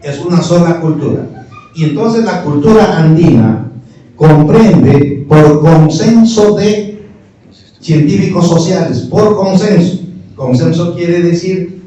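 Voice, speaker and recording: Man, middle-aged, didactic panel lecturer, somewhat high, mellow voice, distant mic in a very echoey hall. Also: takes it slowly. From Peru. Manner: didactic, argues point by point with rhetorical questions. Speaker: speaker at a history panel. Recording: distant, echoey, muffled room recording.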